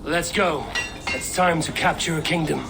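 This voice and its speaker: An actor playing a blunt, resolute Viking raider in a video game, speaking in terse commands. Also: male voice, fairly high pitch, fairly even intonation, moderate pace, creaky, background noise. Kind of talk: video game cutscene acting.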